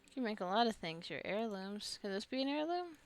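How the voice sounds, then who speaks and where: A woman's voice: mid-range voice, very animated, a little fast. A woman giving casual gameplay commentary, rambling and thinking aloud as she plays. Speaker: gamer narrating her own gameplay.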